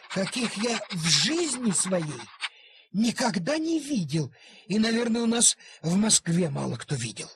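A man's voice: high voice, husky. A man narrating a story expressively, in a theatrical way, drawing out key words.

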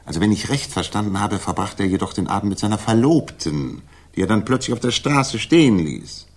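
An actor in a 1960s German radio drama playing a cool, self-assured detective with a measured, dry, precise delivery. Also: male voice, fairly low pitch, expressive, husky. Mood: analytical, probing. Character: Sherlock Holmes, cool, analytical, self-assured male detective.

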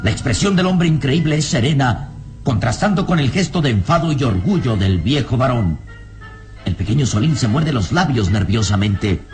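A man's voice: medium pitch, expressive, normal speed, a little mellow, soft background music. A man narrating a radio serial in a dramatic, solemn, suspenseful scripted style.

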